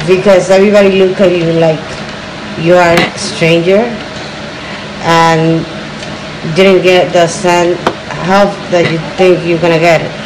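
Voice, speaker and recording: Guarded woman, low voice, noisy street. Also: flat delivery, a little slow. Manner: guarded, hesitant replies. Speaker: interviewee. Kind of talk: interview answers. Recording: loud traffic noise behind.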